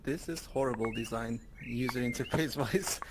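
A man from Turkey with a medium-pitched voice, talking casually during a virtual meeting. The headset voice chat carries clear background noise. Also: moderate pace. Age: adult parent. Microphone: VR headset microphone.

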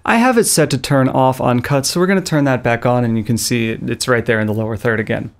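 A man in his thirties walks viewers through a gadget setup in a loose, jokey way. He speaks at an ordinary pitch, close to a studio boom microphone in a small studio. His asides are playful and silly. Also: quick pace. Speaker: video tutorial host. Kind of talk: loose, jokey tech tutorial. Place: small studio with a blue backdrop. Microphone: studio mic on a boom arm, close.

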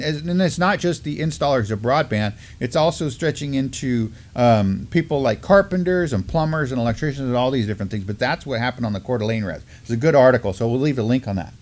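A man's voice: mid-range voice, a little mellow. Middle-aged man discussing news informally. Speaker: news show co-host. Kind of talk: news commentary, reading and discussing articles. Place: indoor studio at a desk.

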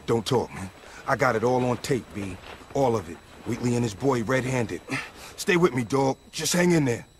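An actor playing an excitable, loyal young hip-hop sidekick in a video game, talking in street slang. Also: male voice, medium pitch, moderate pace, slight background noise, natural voice. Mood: urgent.

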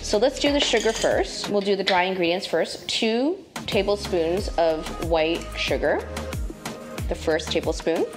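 A woman in her thirties hosting a casual cooking and tech vlog from her home kitchen, chatty and relaxed, with plenty of fillers. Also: mid-range voice, a little slow, over music. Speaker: host and tech sales lead.

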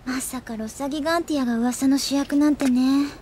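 A high, youthful voice from a woman acting as a cheerful schoolgirl who loves gossip. The delivery is that of an anime audio drama, and music plays beneath it. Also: fairly even intonation, brisk, warm tone, natural voice. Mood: playful. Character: cheerful, gossipy, studious teenage schoolgirl friend.

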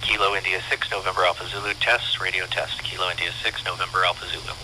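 A man's low voice comes through a two-way radio in a fast, monotone test call. The sound is very sharp and harsh, with faint noise. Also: poor audio. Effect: voice heard over a two-way radio.

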